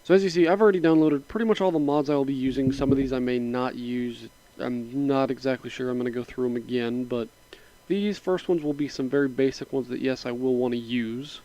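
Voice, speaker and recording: A man with a slightly raspy voice from a sinus infection, casually narrating a step-by-step walkthrough at his computer, rambling a little with fillers. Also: medium pitch, a little fast, low-quality recording. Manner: relaxed, rambling, with frequent fillers. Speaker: mod tutorial narrator. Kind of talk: casual screen-recording walkthrough.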